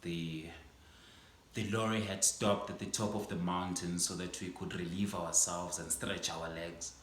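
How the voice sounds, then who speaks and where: Male actor as an old schoolteacher, somber theatrical monologue; deep voice, faint hiss, echoey room. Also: fairly even intonation, measured pace. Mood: wistful. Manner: ranging from hushed reflection to impassioned outbursts. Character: aging male schoolteacher, proud and grieving. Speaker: stage actor performing a monologue.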